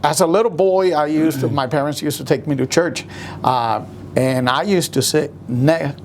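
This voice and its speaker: A man from Rico, speaking as a guest musician in a halting way with many fillers. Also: on the high side, slight background noise. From Puerto Rico.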